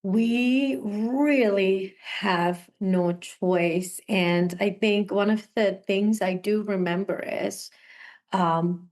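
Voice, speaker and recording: A woman's voice: mid-range voice, extremely slow, darkish tone. A young woman from Sri Lanka, a guest talking over a video call, telling her story earnestly and laughing often. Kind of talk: casual interview conversation.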